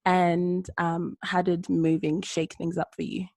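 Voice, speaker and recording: A woman's voice: on the low side, level tone, a little slow, a little mellow, no room sound, studio quality. A woman hosting a podcast, upbeat and cheering on her guests in casual chat. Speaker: podcast host.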